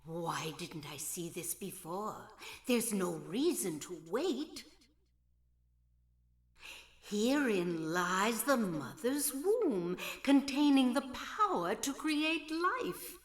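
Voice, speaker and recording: Fanatical older mother, manipulative, video game villain, deliberate pace, dramatic swells, long reverb. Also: fairly high pitch, very animated. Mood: rapturous. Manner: theatrical, slow, deliberate delivery.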